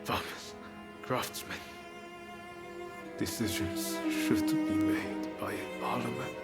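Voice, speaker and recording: A wounded samurai idealist speaks slowly, with swooping pitch and labored breath. He is fervent and earnest, in a dramatic game cutscene under loud orchestral strings. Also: huge pitch swings, loud music behind the voice, heavily acted. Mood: labored, hopeful.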